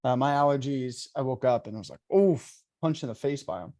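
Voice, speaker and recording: Man, bootcamp instructor, congested and nasal; easygoing, rambling lecture delivery, warm tone. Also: mid-range voice, brisk, no echo, studio quality. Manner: chatty, filler-heavy, self-correcting. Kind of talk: casual online lecture.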